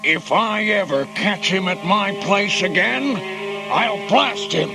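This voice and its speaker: An actor playing a gruff, hot-tempered old American farmer and hunter in an animated film, with a folksy drawl. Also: male voice, sits high, slow, heavily acted. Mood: furious. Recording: loud music behind the voice.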